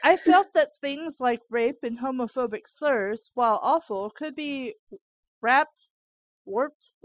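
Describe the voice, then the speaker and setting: A woman's voice: high-pitched, takes it slowly, dry recording. A woman co-hosting a fan fiction read-aloud, breaking off into baffled, informal asides. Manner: incredulous, informal, interjecting asides.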